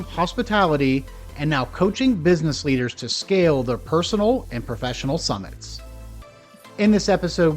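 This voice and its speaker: Higher-voiced man hosting a podcast. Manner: polished scripted intro, then friendly questions. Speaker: podcast host. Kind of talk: podcast interview.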